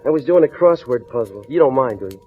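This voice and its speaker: A man's voice: medium pitch, animated, quick pace, soft background music, natural voice. Male actor as wisecracking streetwise suspect, cool and defiant, laconic delivery, 1940s radio drama, muffled vintage recording. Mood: cool, cocky.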